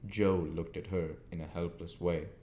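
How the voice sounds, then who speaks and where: A man narrating a classic novel as an audiobook reader, deliberately and in short phrases. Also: low-pitched, fairly even intonation, dark tone. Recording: echoey room with faint background noise.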